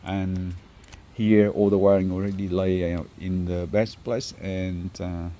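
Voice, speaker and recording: A man who is not a native English speaker, presenting a car installation job in an informal, halting walkthrough. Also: low-pitched, level tone, warm tone, muffled recording. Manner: halting, hesitant phrasing. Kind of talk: informal workshop walkthrough video.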